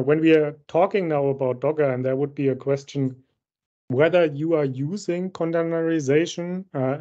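Man, bright voice, dull call audio. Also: on the high side. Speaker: solution architect presenting a software demo. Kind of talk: technical demo presentation. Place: indoor room, on a video call. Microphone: video-call microphone.

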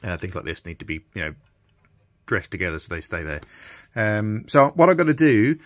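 A middle-aged man with a fairly low voice talks through hands-on repair work at his bench. He is casual and a little hesitant, thinking aloud as he goes. The sound is fairly dry and close. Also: very animated, brisk, no echo. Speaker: radio restorer presenting a repair video. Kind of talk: casual workshop commentary. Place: small indoor workshop at a bench.

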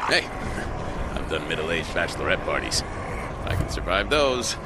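A man's voice: low-pitched, big pitch swings, normal speed, heavy background noise. An American man playing a cocky, flippant stripper who wisecracks his way through a zombie video game. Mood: cocky, teasing. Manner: flippant, theatrical. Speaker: player character. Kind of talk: video game character dialogue. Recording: game music and effects underneath.

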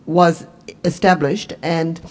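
A middle-aged woman with a New Zealand accent and a fairly low voice speaks on a conference panel. She is from Fiji and talks in a relaxed, self-deprecating way, into a handheld microphone in a meeting room. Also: slow pace. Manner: self-deprecating, laughs easily. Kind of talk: conversational panel talk.

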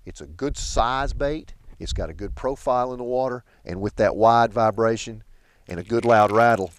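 A middle-aged man hosting a fishing vlog outdoors on a boat, explaining his lures casually and breaking into excited outbursts when a fish bites. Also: medium pitch, normal speed, dry recording. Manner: chatty, excited outbursts while fighting fish. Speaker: pro bass angler hosting a fishing vlog. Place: outdoors on a bass boat on a lake.

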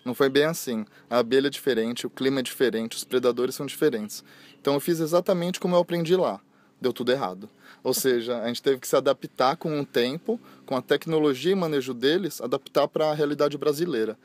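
Young Brazilian man, mid-pitched voice. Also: fairly quick. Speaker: seminar speaker being interviewed. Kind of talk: casual storytelling in an interview. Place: outdoors on a lawn. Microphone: phone held by interviewer.

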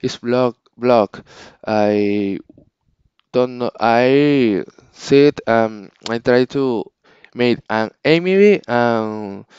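A man whose first language is Spanish, talking casually to his channel's viewers in halting English, often restarting and searching for words. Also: mid-range voice, a little mellow, smooth, no echo, dull audio. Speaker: channel owner. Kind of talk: casual unscripted vlog talk.

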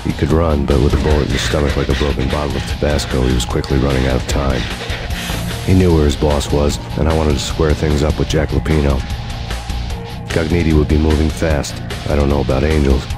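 Casting: hard-boiled American cop narrator, extremely low, flat delivery, music underneath, video game. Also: male voice, fairly quick. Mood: grim, deadpan. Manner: deadpan, brooding. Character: grim, world-weary hard-boiled male cop narrator. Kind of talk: noir scripted narration.

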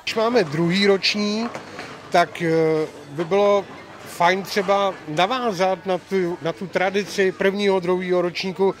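A man's voice: high-pitched, steady tone. Older man hosting a bowling event, jokey. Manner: jokey, chatty, teasing. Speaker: tournament host and reporter. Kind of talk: event report and interviews. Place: large bowling alley, indoors. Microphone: handheld mic. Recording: faint hall noise.

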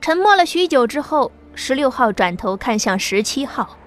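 A woman narrating an apocalyptic sci-fi audiobook in a lively, dramatic storytelling manner, with soft music underneath. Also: sits high, normal speed.

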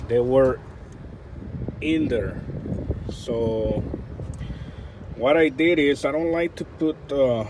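A man working on a rooftop unit, talking slowly and deliberately as he thinks out loud, pausing on fillers. His voice sits in an ordinary middle range. Wind and traffic roar loudly around the close handheld mic. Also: medium pitch. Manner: halting, many fillers and restarts, thinking aloud. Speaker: HVAC technician. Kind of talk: hands-on troubleshooting walkthrough.

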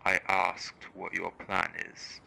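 A man's voice: low voice, monotone, slow pace, creaky, sharp tone, a little hiss or hum, exaggerated voice, poor audio. A man playing a cold, curt interrogator who demands answers in an audio drama. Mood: cold, threatening. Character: cold, menacing, no-nonsense male interrogator. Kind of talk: audio roleplay acting.

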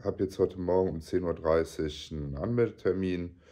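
A man in his forties with a cold, talking casually and excitably into a phone camera held at arm's length. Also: deep voice, normal speed, no echo. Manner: chatty, excitable, swears casually. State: has a cold. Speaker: vlogger. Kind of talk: casual vlog. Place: living room, then car park and car interior.

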